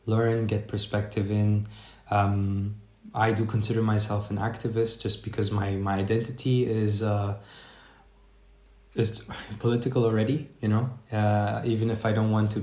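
A man's voice: lowish voice, darkish tone, reverberant. A man who grew up in Germany, a podcast guest speaking in a reflective, rambling way with many fillers and restarts. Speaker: podcast guest, life coach. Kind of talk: casual interview chat.

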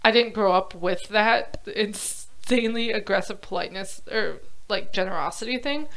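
A woman co-hosting a casual podcast, chatty and quick to laugh, often trailing off mid-sentence, recorded at home on lo-fi gear. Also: mid-range voice, a bit bright. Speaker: podcast co-host. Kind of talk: casual chat.

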